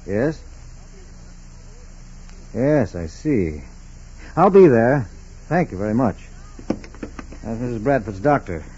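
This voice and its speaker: An actor playing a methodical FBI special agent in a 1940s radio drama, with a clipped, deliberate, businesslike delivery. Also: male voice, medium pitch, very animated, darkish tone, slight background noise. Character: steady, methodical male FBI special agent investigating a case. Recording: dull, muffled 1940s radio recording.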